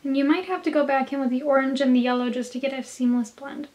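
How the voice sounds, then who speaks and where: A woman with a hoarse, slightly nasal voice, chatting through a makeup tutorial for her viewers. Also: high-pitched, fairly even intonation, fast talker, darkish tone. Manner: chatty, talks straight to viewers. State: hoarse from a cold. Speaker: beauty vlogger.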